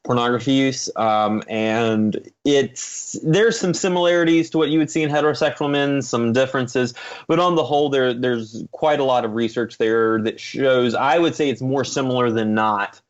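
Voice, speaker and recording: Male expert interviewee, medium pitch, academic and careful explainer, slightly dull recorded sound. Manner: measured, academic, careful qualifying. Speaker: guest expert, psychology professor.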